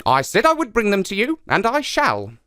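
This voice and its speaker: Man putting on a high-pitched wily little trickster spider. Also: wildly swooping pitch, normal speed, pushed and bright, clear, resonant tone, dry recording, clean studio sound, heavily acted. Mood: sly, eager. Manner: confident, persuasive. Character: small wily boastful male trickster spider. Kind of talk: children's folktale storytelling.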